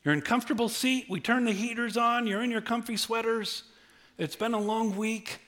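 A middle-aged male pastor giving a sermon, conversational and humorous, swinging from quiet asides to loud bursts. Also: very high voice, moderate pace. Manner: humorous, conversational preaching with big swings in loudness.

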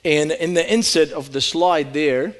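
A middle-aged man with a Lebanese accent, preaching as a guest speaker in a church, animated and emphatic. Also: on the high side, unhurried. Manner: animated storytelling, rising emphasis. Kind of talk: sermon.

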